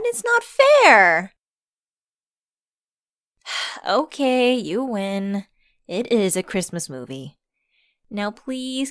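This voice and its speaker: A woman's voice: a little high, dry recording, clean studio sound. A woman playing a flustered, friendly stranded driver in an ASMR audio roleplay, chatty and a little hesitant. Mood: playful. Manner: hesitant, chatty, expressive with sighs and giggles. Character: flustered, friendly woman locked out of her car at Christmas. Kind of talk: ASMR roleplay acting, one-sided conversation.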